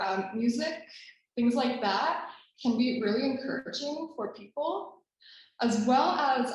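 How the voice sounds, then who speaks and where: A young Taiwanese Canadian woman speaking softly and thoughtfully on a video call panel, with frequent ums. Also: on the high side, very echoey, low-quality recording.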